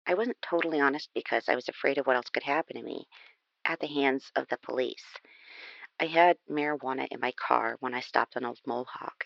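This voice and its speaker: A sorry, confiding woman reading a letter drama, fry in the voice, dry but slightly muffled. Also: extremely low pitch, no echo. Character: apologetic, confiding convicted woman writing to her attorney.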